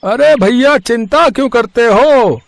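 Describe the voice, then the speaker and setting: A man's voice: very high voice, takes it slowly, vocal fry, no echo, heavily acted. A man voicing a friendly, helpful auto-rickshaw driver in a children's cartoon story, eager and reassuring. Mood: cheerful, helpful.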